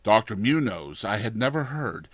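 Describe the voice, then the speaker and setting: A man narrating a horror story as a dramatized audiobook, in a deliberate, theatrical manner. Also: lowish voice, big pitch swings, a bit bright, no echo.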